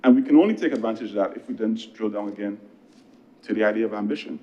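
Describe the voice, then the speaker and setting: A Barbadian man speaks as a government minister in a low, mellow voice. His speech is earnest and conversational, built on storytelling and emphatic pauses. The recording sounds slightly dull. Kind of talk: political speech in parliament with anecdotes.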